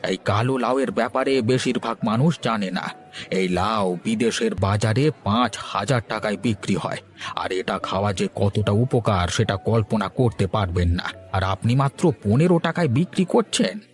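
A man's voice: fairly low pitch, normal speed, close to an everyday voice. A man playing a confident, well-informed village businessman in a cartoon. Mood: astonished, explaining. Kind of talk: cartoon voice acting.

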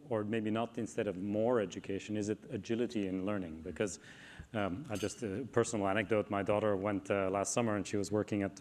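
A man speaking as a panelist on a stage through a lapel mic, telling a story conversationally. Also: fairly low pitch. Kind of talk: panel discussion. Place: stage in front of a backdrop.

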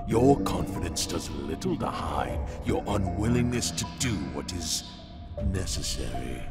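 A cold, menacing undead spirit in a fantasy audio drama, speaking in a deep voice that rises and falls dramatically. He declaims very slowly and ominously, over loud music. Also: heavily acted. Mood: cold, scornful. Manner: slow, ominous, theatrical declaiming. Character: vengeful male wraith, cold, grandiose, menacing spirit of a dead man.

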